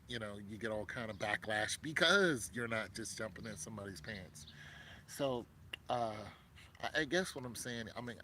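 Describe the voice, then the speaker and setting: Fifty-something guy, casual rambling talk, lots of "you know", bright tense voice. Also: on the low side, a little hiss or hum. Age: 50s. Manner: rambling, frequent fillers and restarts. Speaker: vlogger.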